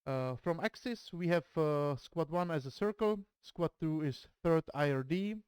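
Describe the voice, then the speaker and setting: Czech-accented male commentator, smooth voice. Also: on the high side, a little slow, darkish tone, no echo, studio quality. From Czech Republic. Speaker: esports match commentator. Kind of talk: streamed game commentary, reading a dramatic intro and team roster.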